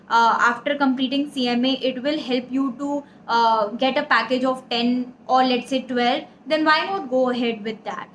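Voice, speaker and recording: A woman's voice: sits high, a bit bright. A young woman with an Indian accent giving career advice in an earnest, explanatory way, addressing listeners directly. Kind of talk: advisory talk to camera. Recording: echoey room, audible background noise.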